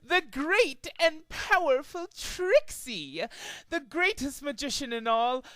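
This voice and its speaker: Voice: sits high, lively intonation, takes it slowly, a bit bright, no room sound, pitched above the performer's own voice, exaggerated voice. A performer voicing a boastful female pony stage magician, declaiming pompously in a cartoon fan story reading. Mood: grandiose.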